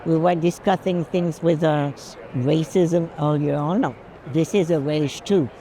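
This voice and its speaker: A woman's voice: low voice, measured pace, mellow. A woman discussing art casually, stating firm opinions. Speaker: curator and collection advisor. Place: busy art fair. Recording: clear urban ambient noise.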